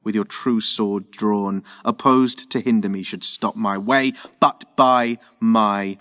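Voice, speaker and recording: A man's voice: on the low side, steady tone, slow pace, a bit bright, no room sound, studio quality, big-room sound. A man playing a hot-headed young Trojan prince in a Shakespearean audio drama, impassioned and declamatory. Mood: fierce, defiant.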